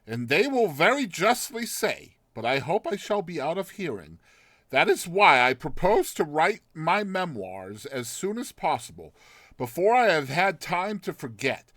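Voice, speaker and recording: A man reading a literary short story as narrator, in a dramatized, theatrical style with deliberate phrasing. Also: a little high, a bit bright, no room sound.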